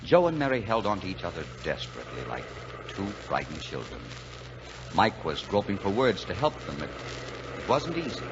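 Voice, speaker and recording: An actor playing a kindly, gruff, lonely truck driver in folksy 1940s radio drama style. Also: male voice, deep voice, big pitch swings, moderate pace. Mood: somber narrating. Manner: folksy, plainspoken. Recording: muffled, noisy 1940s radio recording.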